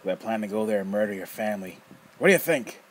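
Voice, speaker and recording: A man voicing a rough, taunting armless coffin maker in an audiobook reading. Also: on the low side, animated, fast, slight background noise, natural voice. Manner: rough, taunting, bursts of laughter. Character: armless gruff male coffin maker, mocking and menacing.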